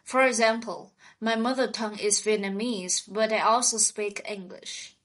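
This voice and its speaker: A woman co-hosting a scripted vocabulary lesson, speaking in a slow, clear teaching manner. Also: medium pitch, fairly even intonation, fairly bright tone. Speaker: podcast co-host.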